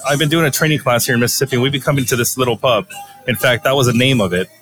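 A man's voice: mid-range voice, racing, a bit bright. A man with a stuffy, congested voice, co-hosting a casual chat from a small dive bar, laid-back and easygoing. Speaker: podcast co-host. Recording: music playing in the bar. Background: jukebox music.

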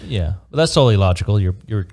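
A man with a fairly low voice co-hosts a casual conversation. He jokes around and drops in quick interjections and follow-up questions. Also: animated, darkish tone. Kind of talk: casual chat.

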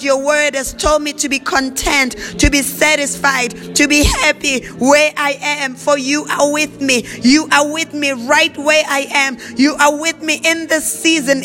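Woman leading prayer, high bright voice, even and steady, repetitive fervent declarations. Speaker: prayer leader. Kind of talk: spoken prayer with speaking in tongues.

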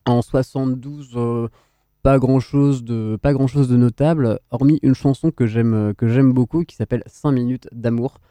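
A man hosts a radio music show in a casual, conversational way. His voice is soft-edged and relaxed, at an ordinary pitch, recorded close and dry. He hesitates as he puts his commentary together. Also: normal speed, no room sound. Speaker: radio host. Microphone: close studio radio microphone.

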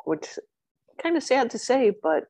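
A woman's voice: a little high, very animated, a little slow, no room sound. A middle-aged woman talking openly as an interview guest, chatty and candid with frequent ums. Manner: chatty and open, with many ums and warm asides. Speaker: interview guest, coaching client.

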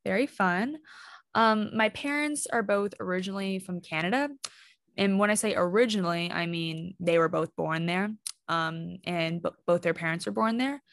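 Young woman with a gravelly, low voice. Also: moderate pace, no echo, clean studio sound. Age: young adult. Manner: curious, reflective, conversational. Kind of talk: casual chat.

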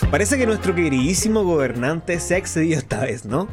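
An actor playing a flamboyant, sardonic underground radio host in a radio drama, talking in a grandiloquent, ironic, defiant way. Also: male voice, a little high, big pitch swings, fairly quick, loud music behind the voice. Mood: indignant, mocking. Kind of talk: theatrical radio drama monologue.

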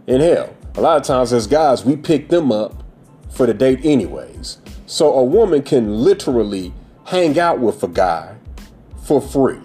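A man's voice: medium pitch, mellow, husky. A man in his forties hosting a podcast, giving a blunt, profane, preachy monologue about dating. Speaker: podcast host.

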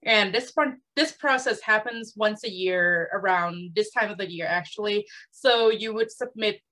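A woman's voice: mid-range voice, sharp tone, rough recording. A woman from Thailand co-hosting a casual podcast, talking in a playful, teasing, sympathetic way. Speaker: podcast co-host. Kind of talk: casual chat.

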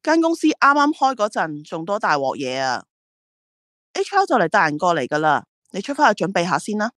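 A woman's voice: on the low side, wildly swooping pitch, brisk, fairly bright tone, no echo. A driven woman who runs an ad agency in a radio drama. She speaks with a Hong Kong Cantonese accent and very varied intonation, by turns proud and reflective. The recording is dry. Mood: businesslike. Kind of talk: radio drama dialogue and monologue.

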